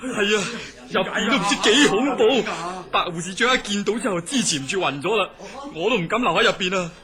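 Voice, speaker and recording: Jumpy male orderly, high voice, swooping intonation, Hong Kong Cantonese, horror radio drama. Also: lively intonation, fairly quick, a crowd in the background. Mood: panicked. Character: jumpy, easily frightened male hospital orderly.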